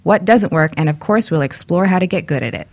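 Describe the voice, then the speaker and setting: Lower-pitched woman hosting flirty radio talk. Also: animated, brisk, vocal fry, darkish tone. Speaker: radio show host, intimacy coach. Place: radio studio.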